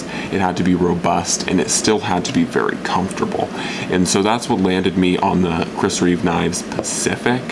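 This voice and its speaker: A man's voice: low voice, background noise. A young man casually reviewing a knife at his workbench, rambling with fillers and restarts. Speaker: outdoor gear reviewer. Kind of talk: casual gear review talk. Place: indoors at a workbench.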